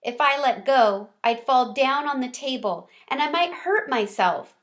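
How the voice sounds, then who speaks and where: A woman narrates a children's story in a somewhat high voice. She reads expressively, leaning on key words as a read-aloud storyteller does. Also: animated, a little slow, fairly bright tone.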